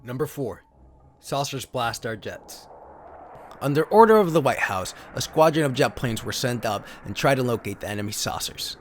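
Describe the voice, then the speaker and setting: A man's voice: mid-range voice, lively intonation, moderate pace, a little hiss or hum. Man giving a dramatic sci-fi narration. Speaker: host and reader. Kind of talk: dramatic scripted narration of retro sci-fi story.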